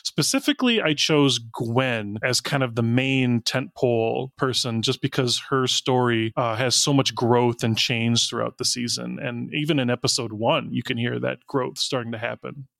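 A man's voice: medium pitch, moderate pace, gravelly, few pauses, fairly bright tone, no room sound. A man talking casually as a writer and director being interviewed.

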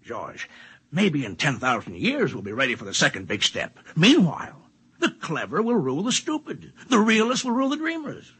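1950s radio drama: domineering male cynic, gravel-rough and sharp, sardonic, hugely varied intonation. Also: a little high, wildly swooping pitch, heavily acted. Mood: scornful, domineering. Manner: sardonic, theatrical drawn-out emphasis. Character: cynical, mocking male boss, domineering and condescending.

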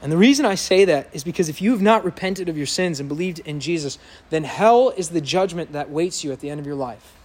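A pastor preaching an earnest, emphatic sermon to his congregation in a church sanctuary, with a loud voice that builds to impassioned peaks. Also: a little high, a little fast, few pauses, husky.

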